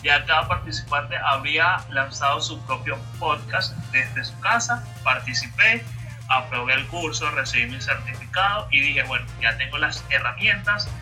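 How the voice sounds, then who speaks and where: Young Venezuelan male podcast host: very bright tone, somewhat distant from the mic. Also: on the high side, moderate pace, soft background music. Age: 20s. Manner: chatty, self-correcting, animated. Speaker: podcast host and radio announcer. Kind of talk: casual podcast chat.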